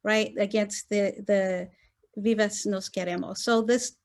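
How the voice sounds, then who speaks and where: Woman academic on a zoom panel, talks it through, lots of um and right?, medium pitch. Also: steady tone, a little slow. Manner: earnest, thinks aloud with many fillers and "right?" tags. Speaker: gender studies scholar on roundtable panel. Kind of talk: academic panel discussion over video call. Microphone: video call.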